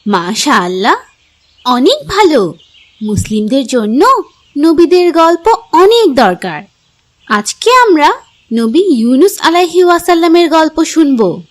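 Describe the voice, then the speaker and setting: A woman acting as a cartoon teacher narrates religious tales for children. The voice is extremely high and moves animatedly up and down. Music plays underneath the scripted storytelling. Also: very animated, slow, heavily acted. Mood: instructive.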